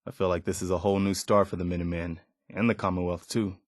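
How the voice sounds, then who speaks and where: An actor voicing an earnest, resolute militia leader who rallies settlers, in video game dialogue. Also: male voice, low-pitched, little pitch movement, fast talker, dry recording, studio quality, lightly acted. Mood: hopeful, persuasive.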